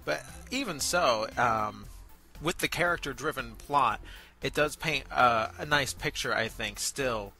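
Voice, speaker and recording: A man co-hosting a review show in casual chat, speaking hesitantly with many pauses. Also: medium pitch, bright, forceful tone. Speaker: co-host of an amateur voice acting review show. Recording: background music under voice.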